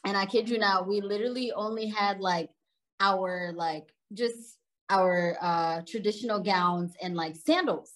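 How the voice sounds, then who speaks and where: Woman in her thirties, native Arabic speaker, mid-pitched voice, chatty storyteller, laughs easily. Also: unhurried, a bit bright, poor audio. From Sudan. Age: 30s. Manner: chatty, laughs while telling stories. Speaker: guest. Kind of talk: casual storytelling interview.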